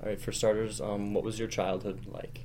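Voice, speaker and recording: A young man from Wisconsin, a college freshman, introducing an oral history interview and asking questions in a flat, read-out manner. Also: lowish voice, moderate pace, slight background noise. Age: young adult. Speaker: student interviewer.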